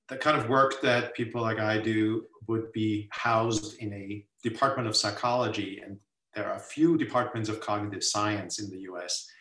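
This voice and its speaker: An older man from Austria, a guest professor talking thoughtfully in a webinar with many filler pauses. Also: mid-range voice, fairly bright tone, distant mic. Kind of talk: webinar talk. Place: home room on a video call. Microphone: laptop mic on video call. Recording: roomy video call audio, mic set back.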